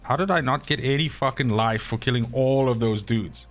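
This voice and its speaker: A man's voice: medium pitch, normal speed, soft background music. A man, an Afrikaans speaker, rambling and swearing freely as he streams a game and talks to his chat. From South Africa. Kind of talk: live gameplay stream chat.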